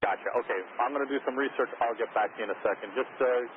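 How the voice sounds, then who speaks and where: A man's voice: medium pitch, very fast. A man working as an air traffic controller, giving informal instructions over aviation radio. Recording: narrow tinny radio transmission, heavy static noise.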